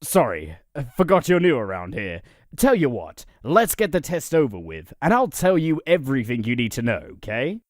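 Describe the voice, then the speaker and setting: A man's voice: medium pitch, very animated, normal speed, no room sound, studio quality, lightly acted. A man playing an affable, quirky wasteland observatory boss in an audio drama, talkative and apologetic in manner. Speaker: voice actor.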